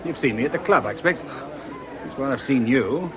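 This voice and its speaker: An actor playing a wry police detective inspector in a radio drama, politely probing and insinuating. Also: male voice, medium pitch, expressive, brisk, close to an everyday voice. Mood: probing, needling. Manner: breezy, insinuating, politely needling. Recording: noisy outdoor crowd behind the voice.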